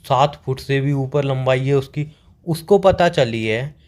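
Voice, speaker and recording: A man's voice: medium pitch, a little slow, darkish tone. A young man playing a boyfriend on a staged couple phone call, joking and teasing.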